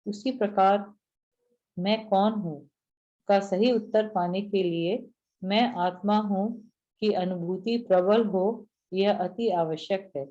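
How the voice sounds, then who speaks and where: Slow, mellow-voiced woman reading. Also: medium pitch, fairly even intonation. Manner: slow, measured delivery. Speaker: class reader. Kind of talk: reading a spiritual text aloud, then explaining it. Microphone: video call. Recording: dull lo-fi online call audio.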